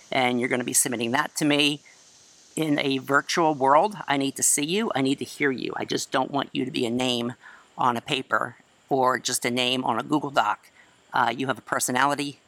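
Chatty loud-voiced male teacher. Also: mid-range voice, vocal fry, dry recording. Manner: loud, chatty, self-assured. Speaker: high school English teacher. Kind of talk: class introduction video lecture. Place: outdoors in a school courtyard. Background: crickets and chirping.

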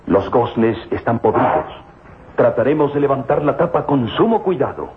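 Man acting eager, commanding professor, animated radio serial. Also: medium pitch, moderate pace, heavy background noise, close to an everyday voice. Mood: solemn, examining. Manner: theatrical, formal, commanding. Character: eager archaeologist professor father, commanding, excited by discovery. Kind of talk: old Spanish-language radio serial acting. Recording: lo-fi old radio, music underneath.

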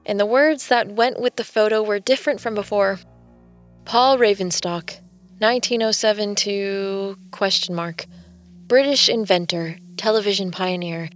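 A woman plays an obsessed, determined artist in an audio drama. Her voice sits at a middle pitch, earnest and confiding, with an insistent edge. Faint music runs behind her. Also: normal speed, close to an everyday voice. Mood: haunted, reflective. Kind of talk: audio drama acting with first-person narration.

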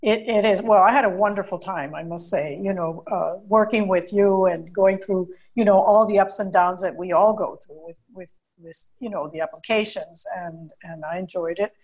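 A woman's voice: mid-range voice, normal speed, hardly any pauses, darkish tone, poor audio. A woman working as an educational consultant, hosting an interview and chatting in a friendly way, often filling her speech with "you know" and "uh". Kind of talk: conversational interview.

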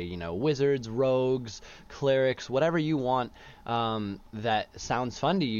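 Young man chatting over dull lo-fi audio. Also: on the low side. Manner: relaxed, rambling with fillers and restarts. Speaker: student podcast co-host, game master. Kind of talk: casual chat.

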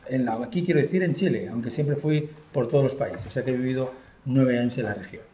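A man's voice: medium pitch, fast, dark tone, a little hiss or hum. A man with a Spain Spanish accent, a guest being interviewed, talking fluently and enthusiastically with frequent fillers, in an office. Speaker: guest, software company partner. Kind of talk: conversational interview. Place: office room. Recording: roomy echo.

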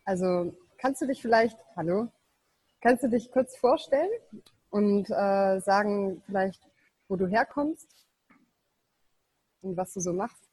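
A woman's voice: medium pitch, measured pace, pauses often, mellow, husky, no echo, poor audio. A woman hosting an informal radio interview, introducing and questioning in a conversational way. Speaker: interview host.

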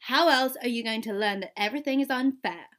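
A woman's voice: fairly high pitch, quick pace, a bit bright, lightly acted. A woman playing a naive, petulant young romantic in an amateur play, whiny and sulky in delivery. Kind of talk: amateur one-act romance play read-through.

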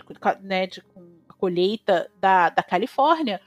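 An opinionated woman from Brazil with a Brazilian Portuguese accent talks as a chef in a relaxed interview. Her voice sits at a middle pitch and leaps up when she stresses something. The sound is dry and close, with faint music underneath. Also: unhurried, dry recording, highs cut off. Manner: animated, opinionated, rising sharply when emphatic. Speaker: chef, guest. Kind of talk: casual conversation.

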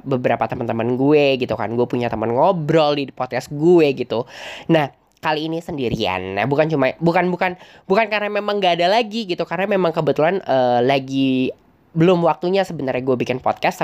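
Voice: medium pitch, a little mellow. Young adult, stuffy nose, nasal, rambling, chatty, hesitant. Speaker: podcast host. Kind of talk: casual solo storytelling.

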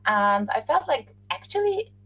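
A woman from Austria, a podcast guest, talking in a relaxed, thoughtful way with frequent laughs and restarted sentences. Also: high-pitched, unhurried. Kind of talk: casual interview chat.

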